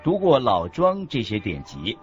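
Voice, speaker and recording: Man narrating a scripted buddhist lecture, lively intonation, music faintly behind, muffled audio. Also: medium pitch, measured pace. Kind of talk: scripted dramatized religious narration. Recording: dull lo-fi audio with background music.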